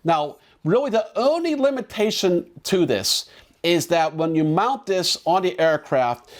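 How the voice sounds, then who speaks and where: Guy with a slightly high voice doing a chatty gear demo, explaining stuff casually. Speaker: presenter for a drone equipment seller.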